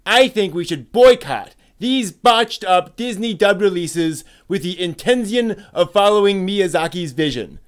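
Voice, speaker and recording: Man acting a high-voiced, disgruntled anime fan. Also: expressive, measured pace, few pauses, clean tone. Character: disgruntled anime fan airing a haughty complaint. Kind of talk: dramatic reading of online posts.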